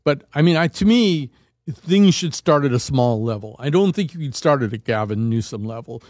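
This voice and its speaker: A man's voice: medium pitch, expressive, moderate pace, darkish tone, no echo, studio quality. A man hosting a call-in radio show, talking casually with many fillers and restarts. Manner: rambling, frequent fillers and restarts. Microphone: studio microphone.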